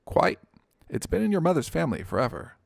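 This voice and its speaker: Polite estate lawyer for a storybook read by a man, formal and measured, gravelly, dry studio sound. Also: fairly high pitch, sing-song, no echo, pitched above the performer's own voice, exaggerated voice. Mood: welcoming. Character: courteous, formal male lawyer serving a wealthy estate. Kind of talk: audiobook character dialogue.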